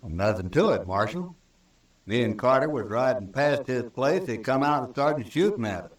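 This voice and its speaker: A male actor playing a surly, evasive American cowhand with rough rural speech in a 1950s radio western. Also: medium pitch, sing-song, moderate pace, natural voice. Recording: old radio recording, roomy and distant.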